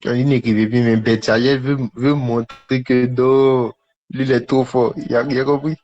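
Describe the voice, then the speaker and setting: A man's voice: mid-range voice, level tone, a little mellow. Man preaching, dull lo-fi livestream sound. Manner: repeats phrases for emphasis, often pausing between short phrases. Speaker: preacher hosting a live online prophetic session. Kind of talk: charismatic sermon and prophetic teaching, conversational, addressing viewers.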